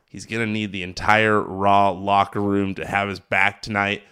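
A man's voice: lowish voice, flat delivery, moderate pace, sharp tone, clear, resonant tone. An American man hosting a podcast, giving a casual, chatty recap with enthusiastic, emphatic delivery. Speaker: podcast host. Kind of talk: casual solo recap commentary on wrestling.

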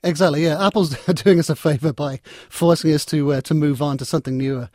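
A man's voice: on the high side, steady tone, fast talker, darkish tone, no room sound, clean studio sound. A man talking as an interview guest in a conversational, discursive way, often repeating and reworking his words. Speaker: interview guest, technology writer and editor.

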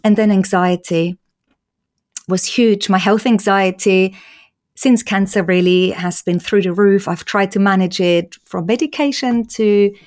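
A woman's voice: medium pitch, steady tone, a little mellow. Earnest Austrian woman speaking openly. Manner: earnest, open, quick-flowing. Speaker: guest, patient advocate. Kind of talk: candid interview conversation.

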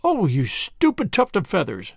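A brave young mongoose, played by a man reading a storybook speaks in a brisk, determined way. His voice is fairly high with a gravelly grain. It sounds dry and close. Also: wildly swooping pitch, no echo, full character voice. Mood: impatient.

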